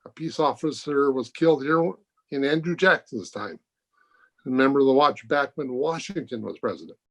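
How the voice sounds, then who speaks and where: A man playing an authoritative, thoughtful hospital doctor in an old-time radio mystery, with measured, declamatory delivery. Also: mid-range voice, lively intonation, close to an everyday voice. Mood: intrigued, ominous. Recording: home video-call recording.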